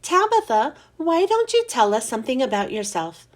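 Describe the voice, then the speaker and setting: A kind but firm schoolteacher, put on by a woman reading a storybook aloud. She gives brisk classroom instructions in a high voice that leaps up and down. She sounds warm, but she expects to be obeyed. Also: sing-song. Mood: firm, kindly. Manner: brisk classroom commands, bright and lifted.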